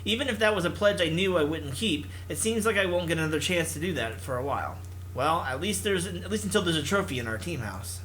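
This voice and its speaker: A man's voice: a little high, expressive, fast, a bit bright, a little hiss or hum, low-quality recording. A man reading visual novel text aloud with casual commentary, in a theatrical, jokey manner. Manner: theatrical, jokey, puts on character voices. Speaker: host of a visual novel playthrough.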